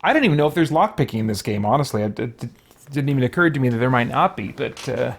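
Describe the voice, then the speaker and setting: A man's voice: mid-range voice, animated, fast talker, vocal fry. Man casually thinking aloud while gaming. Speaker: gaming video host. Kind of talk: casual let's-play commentary.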